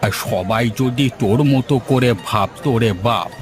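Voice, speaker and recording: A man plays an easygoing village uncle in a cartoon. He talks at a middling pitch in a homely, folksy manner, over faint music. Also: normal speed, natural voice. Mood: genial, curious. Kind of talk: cartoon voice acting.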